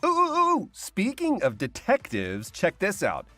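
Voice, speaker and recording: Playful man with wildly swooping pitch. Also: wildly swooping pitch, unhurried, a bit bright, soft background music. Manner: playful, theatrical, jokey. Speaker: co-host of a video game showcase. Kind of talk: scripted comic presenting.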